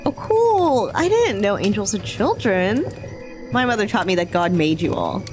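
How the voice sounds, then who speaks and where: A woman playing an excitable, hot-tempered and curious demon in an audio drama, blunt and casual. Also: medium pitch, normal speed, over music, lightly acted. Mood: inquisitive. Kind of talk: audio fiction podcast acting.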